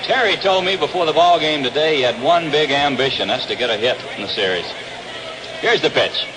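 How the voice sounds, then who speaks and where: A man's voice: fairly high pitch, a little fast, background noise. An American man calling baseball play-by-play live on an old 1960s radio broadcast. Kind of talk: live radio sports commentary. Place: open-air ballpark broadcast position.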